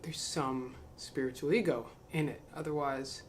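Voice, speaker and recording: A man reading a spiritual book aloud to camera. He adds slow, musing commentary, questions himself, and trails off into mumbles. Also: medium pitch, fairly even intonation, slight background noise.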